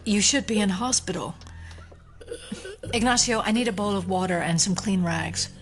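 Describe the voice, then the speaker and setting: A woman playing a skeptical, determined federal agent and doctor in an audio drama, speaking in a measured, questioning way. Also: medium pitch, steady tone, soft background music, natural voice. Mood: composed, probing. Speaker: FBI special agent and doctor. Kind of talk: immersive audio drama acting.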